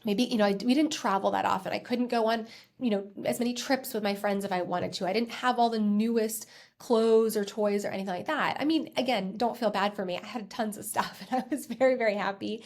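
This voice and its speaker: A woman's voice: medium pitch, steady tone, fairly quick, a little mellow. An American woman in her thirties hosting a podcast, telling a personal story in a conversational, self-reflective way with emphatic repetitions. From United States. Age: 30s. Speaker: podcast host and accountant.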